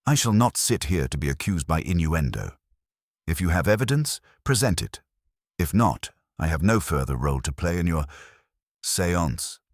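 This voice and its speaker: A man acts out a proud, sharp-tongued woman from a detective storybook. The voice is very low and husky, with lively swings of pitch. The wording is formal and coolly sardonic. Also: normal speed, no room sound, studio quality. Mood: indignant.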